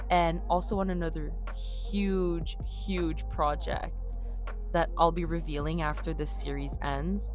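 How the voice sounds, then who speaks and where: A young woman whose first language is French, chatting in a casual, upbeat voice-over about her game build. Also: fairly low pitch. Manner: chatty, upbeat, self-deprecating. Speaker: YouTuber narrating a game build.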